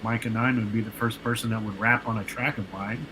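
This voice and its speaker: A man's voice: lowish voice, steady tone, fairly quick, sharp tone, slight background noise, low-quality recording. Laid-back man in his forties, rambling. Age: 40s. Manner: laid-back, rambling, lots of fillers and restarts. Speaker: guest, producer and artist. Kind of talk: casual podcast interview storytelling.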